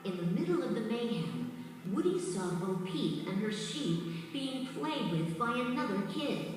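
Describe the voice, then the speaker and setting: A woman's voice: fairly high pitch, big pitch swings, a little slow. A woman reading scripted children's storybook narration as the narrator of a read-along. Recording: very echoey distant hall, music underneath.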